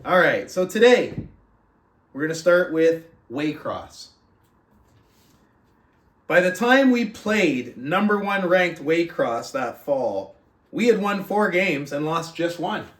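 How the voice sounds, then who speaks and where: Higher-voiced man in an echoey room. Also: big pitch swings, slow pace, long pauses, fairly bright tone. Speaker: book club host. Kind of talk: reading a book aloud with casual commentary. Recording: roomy echo, distant from mic.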